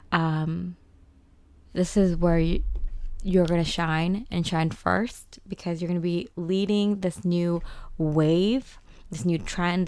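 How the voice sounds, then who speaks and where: Raspy-voiced woman giving a tarot forecast. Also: fairly low pitch, a little mellow, dry recording, studio quality. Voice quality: rambling with many fillers and restarts. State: slightly raspy voice. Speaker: tarot reader and channeler. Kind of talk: casual spoken forecast and tarot reading.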